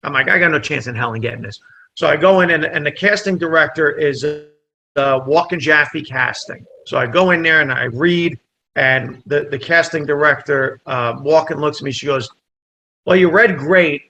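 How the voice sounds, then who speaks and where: A man with a bright, forward voice, pitched a little above the usual for a man. He spins a lively tale and acts out each person in it. Italian was his first language. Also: normal speed, gravelly, poor audio. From New Jersey. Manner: animated storyteller, acts out dialogue. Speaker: comedian guest. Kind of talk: casual storytelling interview.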